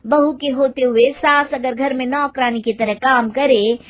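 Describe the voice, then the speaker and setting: A woman voicing a scheming, meddlesome older village aunt in an animated story, coaxing and needling as she stirs up trouble. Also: high voice, unhurried, pitched above the performer's own voice.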